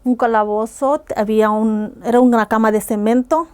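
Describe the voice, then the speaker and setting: A woman's voice: on the high side, steady tone, normal speed, a little mellow, smooth. A woman from Ecuador, earnestly recounting hard personal experiences as a guest on a podcast. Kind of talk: personal testimony in a podcast interview.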